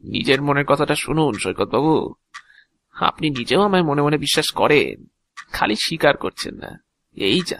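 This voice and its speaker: A man playing a sly, fussy, talkative agent of death in an audio drama, unhurried and theatrical, given to muttering. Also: fairly high pitch, very animated, gravelly, fairly bright tone, no room sound, exaggerated voice. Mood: calm, ominous. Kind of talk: Bengali audio drama acting.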